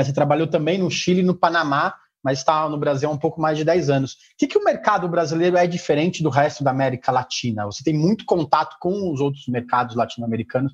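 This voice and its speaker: Husky-voiced man interviewing, dull sound. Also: fairly high pitch. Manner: slow, halting questions with restarts. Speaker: podcast host interviewer. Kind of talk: interview questions. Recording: dull lo-fi mic.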